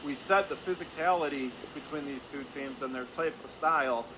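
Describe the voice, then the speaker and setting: A man's voice: fairly high pitch, moderate pace. A man calling live radio hockey play by play in clipped running phrases, his voice rising with the action. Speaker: play-by-play sports announcer. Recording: constant loud arena background noise. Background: hockey arena ambience.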